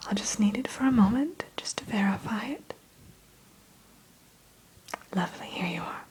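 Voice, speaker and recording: A woman's voice: mid-range voice, animated, normal speed, darkish tone, lightly acted, rough recording. Whispering, friendly female train greeter played by a woman. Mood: warm welcome. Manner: polite, reassuring, chatty. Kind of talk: whispered ASMR roleplay. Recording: faint background hiss.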